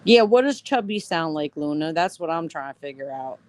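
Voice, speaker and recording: A woman hosting a casual livestream chat, rambling with lots of fillers, her voice going a little hoarse. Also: low-pitched, lively intonation, normal speed, darkish tone, no room sound. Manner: rambling, many fillers and "you know". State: voice getting hoarse. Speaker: livestream host.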